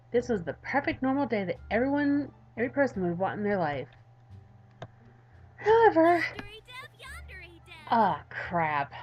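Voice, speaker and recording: A woman's voice: a little high, dull audio. A woman hosting a let's play, reading a visual novel aloud expressively with casual asides. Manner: animated, expressive.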